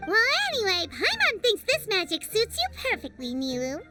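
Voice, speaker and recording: A woman's voice: very high-pitched, huge pitch swings, normal speed, exaggerated voice. A woman voicing a tiny chatty fairy-like companion in a game cutscene, speaking of herself in the third person. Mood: excitable. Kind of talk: video game cutscene dubbing. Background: game music.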